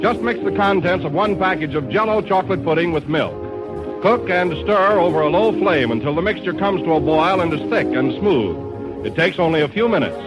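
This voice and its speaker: A man's voice: high-pitched, expressive, moderate pace, low-quality recording. An American man reading a scripted commercial as an announcer in 1930s radio style. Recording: old radio broadcast with loud music.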